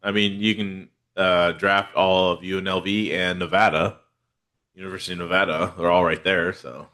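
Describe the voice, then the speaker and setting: Man, very low voice, bright edge, congested, rambling podcast host. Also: little pitch movement, moderate pace. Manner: laid-back, rambling with many fillers. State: congested from a head cold. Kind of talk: casual fantasy football chat.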